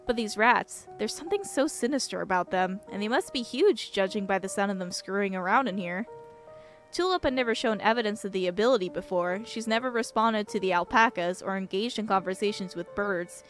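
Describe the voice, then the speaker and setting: An earnest young father, played by a woman in a game story, talks in a measured, sincere way, as if confiding in a friend. He sounds gentle and devout, at an ordinary conversational pitch for her voice, with music in the recording. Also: lightly acted. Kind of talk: acted reading of visual novel dialogue.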